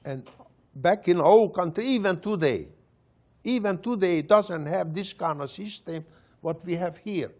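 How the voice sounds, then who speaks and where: An older Hungarian man speaks in slow, broken English with a strong Hungarian accent. He pauses often and laughs between his phrases. His voice sits a little high for a man. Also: expressive, a little mellow. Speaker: panelist. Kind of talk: casual storytelling.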